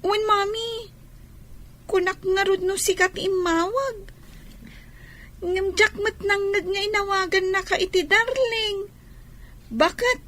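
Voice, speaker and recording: Casting: woman to play a worried little kid, piping high and bright, Ilocano Filipino accent, radio play. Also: fairly even intonation, slow, pauses often, heavily acted. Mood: questioning. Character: young child anxious about absent father, devoted to mother. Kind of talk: Filipino radio comedy-drama acting.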